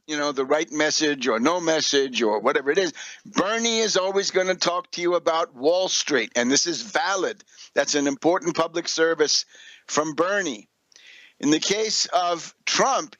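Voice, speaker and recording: A man's voice: on the high side, fairly bright tone, no room sound, rough recording. A man giving an unscripted political commentary as a radio host, scornful and sardonic, hammering points home with repetition and rhetorical questions.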